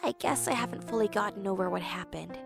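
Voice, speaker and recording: A woman playing a tired, protective pegasus mother with a sly streak and a creaky voice, in an audio story. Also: mid-range voice, quick pace, over music, lightly acted. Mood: regretful. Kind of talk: scripted audio story acting.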